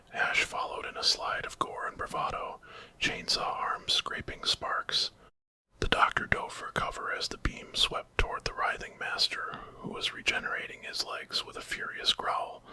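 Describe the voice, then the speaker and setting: A man narrating a horror story as ASMR in a breathy, dramatic whisper from start to finish. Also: very high-pitched, bright, forceful tone.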